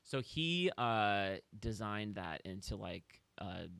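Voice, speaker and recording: A man from Kansas City talks through his career as a podcast guest, chatty and wry. His voice sits low with a gravelly edge. The sound is dry and close. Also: sing-song, takes it slowly, fairly bright tone, no echo. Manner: chatty and wry, self-deprecating jokes. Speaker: guest, real estate agent. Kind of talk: casual podcast chat.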